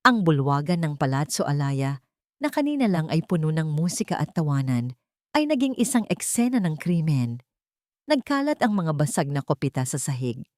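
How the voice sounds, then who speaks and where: A woman narrating a scripted story in a deliberate, suspenseful, dramatic way. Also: low voice, no room sound, studio quality.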